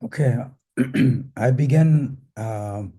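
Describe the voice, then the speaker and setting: An older man, hoarse with a cold and losing his voice, recalls history in a measured, halting panel talk as a retired academic. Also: medium pitch, dark tone. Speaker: panelist, retired professor and former association president.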